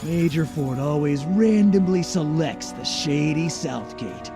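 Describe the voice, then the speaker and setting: A man's voice: a little high, animated, a little slow, music in the background. A man playing a gossipy army soldier in a video game, complaining and mocking as he talks. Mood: grumbling. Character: griping, gossipy young male army soldier. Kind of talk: video game cutscene acting.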